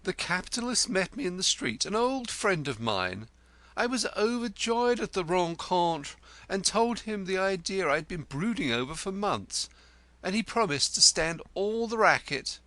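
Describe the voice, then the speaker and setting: A man's voice: high voice, big pitch swings, unhurried, pushed and bright, dry recording, pitched above the performer's own voice, exaggerated voice. A man voicing a vain, flowery poet lodger who talks theatrically and pities himself. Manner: flowery, theatrical, self-pitying. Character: florid vain self-pitying male poet lodger.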